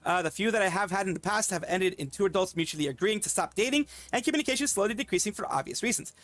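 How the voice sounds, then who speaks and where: A man's voice: sits high, animated, a bit bright, no echo. A man streaming commentary, reading a document aloud and stopping often to comment, with fillers and restarts. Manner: fast, halting, with frequent fillers and restarts.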